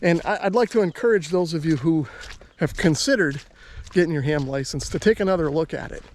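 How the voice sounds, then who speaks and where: A man's voice: fairly high pitch, brisk, a little mellow. An American man talking casually to viewers as a hobbyist host, explaining his points in an easygoing, conversational way. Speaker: radio hobbyist and video host.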